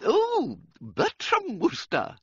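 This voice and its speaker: A man voicing a brisk, decisive, middle-aged schoolmistress in a raised, falsetto-like voice. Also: wildly swooping pitch, fairly bright tone, dry recording, full character voice. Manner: crisp and decisive. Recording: dull, dry, muffled sound.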